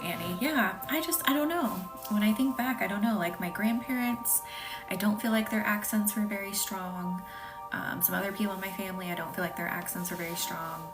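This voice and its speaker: A woman from the American South leads a live-streamed reiki session, speaking softly and slipping into a breathy half-whisper. She answers viewers with hesitant, filler-laden chat. She sits back from the phone mic, with clear music playing behind her. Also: mid-range voice, distant mic. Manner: soft, often whispery, hesitant with many fillers.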